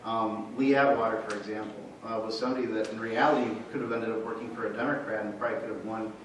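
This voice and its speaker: A man talks on a panel in a large conference room, measured and thoughtful. His voice sounds roomy and distant, with a faint hiss behind it. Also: fairly low pitch, fairly even intonation. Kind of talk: panel discussion. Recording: echoey room, far from mic.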